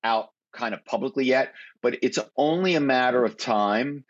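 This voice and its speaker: Man, security guest, confident, storytelling, mid pitch, dull lo-fi sound. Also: normal speed. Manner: confident, storytelling, steady explanations. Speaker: cybersecurity guest expert. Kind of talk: explanatory interview talk.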